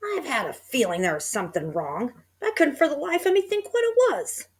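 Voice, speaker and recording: A woman's voice: sits high, huge pitch swings, fairly quick, slightly rough, pitched above the performer's own voice, exaggerated voice. A woman voicing a frightened old woman who shrieks in panic. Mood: puzzled, alarmed.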